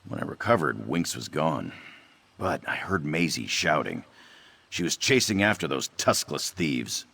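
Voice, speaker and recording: Very deep gravelly anxious cat-man. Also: sing-song, unhurried, pushed and bright, no echo, full character voice. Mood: troubled, recounting. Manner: fretful, hesitant, self-pitying. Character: anxious, self-doubting young male cat-man trader raised by orcs. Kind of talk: fantasy video game dialogue.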